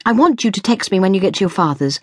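A woman's voice: on the low side, huge pitch swings, normal speed, breathy edge, no room sound, studio quality, pitched above the performer's own voice, heavily acted. A woman voicing a frazzled, sweary mother in a storybook, exasperated and fretting over her son, snapping and shrieking. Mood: anxious, nagging.

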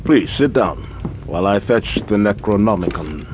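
Radio drama occultist, played by a man: learned employer, secretive, measured, formal diction. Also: lowish voice, lively intonation, background noise, lightly acted. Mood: grave, ominous. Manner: formal, erudite, measured speech. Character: scholarly, secretive male occultist, nervous employer.

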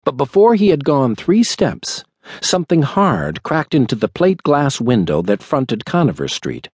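A man reading a thriller audiobook in a measured, dramatic storytelling manner. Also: medium pitch, wildly swooping pitch, darkish tone, husky, no echo. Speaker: audiobook narrator. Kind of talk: scripted thriller audiobook narration. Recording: dry studio recording.

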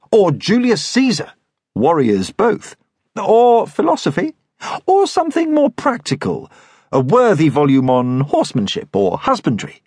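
A man's voice: a little high, slightly rough, no echo, voice pushed up, exaggerated voice. A man voicing an educated bookseller who speaks politely and carefully with dry wit. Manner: polite, measured, wry.

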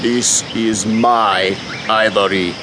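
An actor playing a menacing slave-trading merchant from Zanzibar, formal, courteous and smoothly imperious, in a radio adventure drama. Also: male voice, on the low side, fairly even intonation, takes it slowly. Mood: suave menace. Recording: noisy outdoor sound effects behind.

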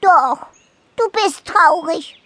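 A woman's voice: extremely high pitch, slow pace, a bit bright, pitched above the performer's own voice, exaggerated voice. A woman voicing a tiny, frank dormouse in a storybook, with a chirpy, blunt and emphatic manner. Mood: consoling, frank. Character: tiny frank dormouse, lively and matter-of-fact. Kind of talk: storybook character voice.

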